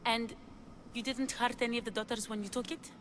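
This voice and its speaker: A woman's voice: fairly high pitch, steady tone, fairly quick, soft background music, lightly acted. An actress playing a grieving young woman longing for her lost lover in a video game cutscene, speaking in an earnest, heartfelt way. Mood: anxious.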